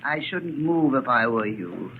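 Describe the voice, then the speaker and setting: An actor playing a stiff, old-fashioned butler in a 1940s radio mystery, formal, haughty and politely menacing. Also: male voice, mid-range voice, big pitch swings, unhurried. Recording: dull 1940s radio recording with faint noise.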